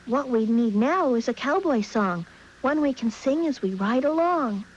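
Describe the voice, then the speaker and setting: Picture a cheerful little girl from a children's TV play, who speaks everything in bouncy rhyming couplets. Her voice sits high. The recording is slightly muffled, with a faint hiss behind it. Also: animated, a little slow. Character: cheerful young girl Muffy who talks in rhymes.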